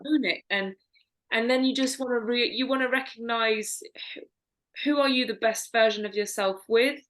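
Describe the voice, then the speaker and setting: A woman's voice: fairly high pitch, normal speed, a bit bright. A woman co-hosting a podcast, giving earnest, chatty dating advice. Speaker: podcast co-host. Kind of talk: casual chat.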